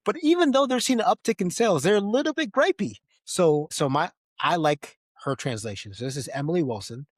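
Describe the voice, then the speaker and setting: A man's voice: high-pitched, lively intonation, fairly quick, few pauses, no room sound, clean studio sound. A man hosting a podcast, discussing films casually and conversationally, often tagging his points with a questioning "right?". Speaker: podcast host.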